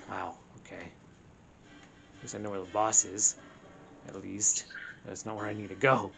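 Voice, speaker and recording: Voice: deep voice, steady tone, takes it slowly, vocal fry, pauses often. A gaming host giving casual let's play commentary, grumbling in exasperation with mild swearing. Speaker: gaming channel host playing the game. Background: video game music.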